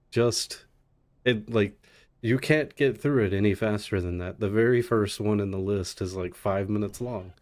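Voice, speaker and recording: A man's voice: fairly low pitch, measured pace, a little mellow. A man commentating a live speedrun in a casual, playfully sarcastic way. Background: game music and dialogue.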